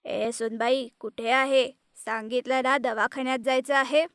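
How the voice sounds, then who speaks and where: A woman voicing a harsh, scheming middle-aged mother-in-law in an animated story, with a scolding, threatening manner. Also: sits high, a little slow, clean tone, no echo, studio quality. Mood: angry scolding.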